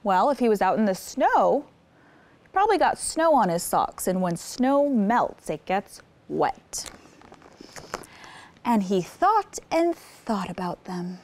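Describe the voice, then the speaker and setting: A woman's voice: mid-range voice, very animated, takes it slowly, a little mellow. A woman hosting story time, reading a picture book aloud expressively and putting simple questions to young listeners. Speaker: children's story time host.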